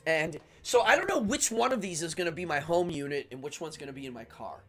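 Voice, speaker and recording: A middle-aged man with an American accent and a slightly high voice hosts a casual livestream. He rambles and cuts himself off as he chats, with music in the background. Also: lively intonation, fast, fairly bright tone. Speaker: livestream show host. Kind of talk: casual live stream chat.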